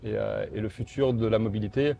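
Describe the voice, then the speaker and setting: A man's voice: fairly low pitch, steady tone, fairly quick, vocal fry, mellow, dull audio, slight background noise. A man representing a motorcycle brand, answering interview questions in a casual, hesitant way, with many fillers and restarts.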